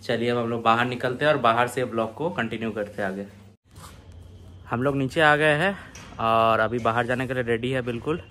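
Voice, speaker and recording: A young man, narrating a family vlog in a relaxed, hesitant way to a phone held at arm's length. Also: medium pitch, normal speed, a little hiss or hum. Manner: relaxed, with frequent hesitation sounds. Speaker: family vlogger. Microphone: phone selfie at arm's length.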